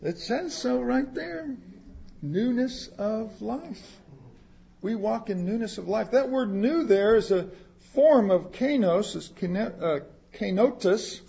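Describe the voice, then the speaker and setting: A man's voice: high-pitched, lively intonation, slow pace, gravelly, dark tone, slightly rough, highs cut off. A man preaching a sermon, reading scripture aloud and explaining it in plain words, with asides to the congregation. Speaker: pastor.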